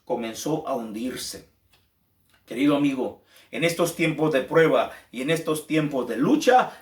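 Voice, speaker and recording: Fervent preacher man in an echoey room. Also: a little high. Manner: fervent, repetitive preaching, calls listeners brother. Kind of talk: sermon.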